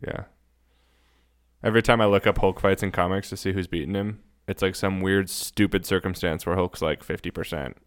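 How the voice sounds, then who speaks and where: A man with a very low, clean and resonant voice in a casual podcast conversation. He explains things informally, working through his thoughts as he talks. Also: fairly even intonation, fairly quick, clear, resonant tone. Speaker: podcast co-host.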